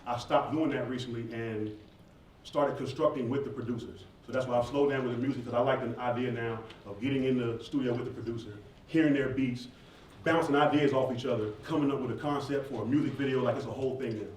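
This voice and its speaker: A man's voice: medium pitch, fast. A man answers audience questions as a performer, telling stories in a casual, joking, informal way. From southern United States. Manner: joking, informal, animated storyteller. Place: echoey indoor event space with audience. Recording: echoey room, distant mic, background noise.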